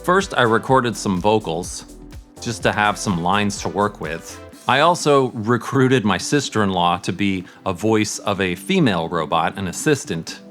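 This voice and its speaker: A man's voice: lowish voice, animated, unhurried, fairly bright tone, faint music behind. A middle-aged man hosting a casual audio tutorial, explaining things as a sound mixer would. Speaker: host, sound editor and mixer.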